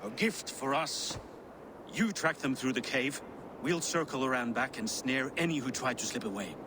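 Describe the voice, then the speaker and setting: An actor playing a proud, brash Viking warband leader in a video game, with a bold, blunt, theatrical delivery. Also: male voice, mid-range voice, normal speed, background noise, lightly acted. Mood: businesslike. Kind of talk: dramatic video game cutscene acting. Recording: game audio with music underneath.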